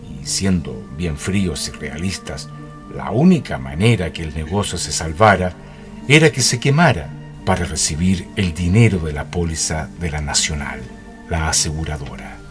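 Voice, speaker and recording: A man's voice: low-pitched, animated, moderate pace, over music. A man narrating a radio drama in a scripted, dramatic storytelling style.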